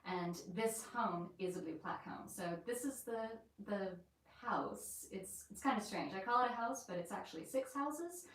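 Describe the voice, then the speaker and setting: A woman's voice: medium pitch, animated, normal speed. A woman with an American accent mixed with a light Yorkshire lilt, hosting a chatty, rambling live stream from inside an old house. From England and the United States. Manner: chatty and rambling, with many fillers. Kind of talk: casual live-stream chat. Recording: very echoey room, mic at a distance.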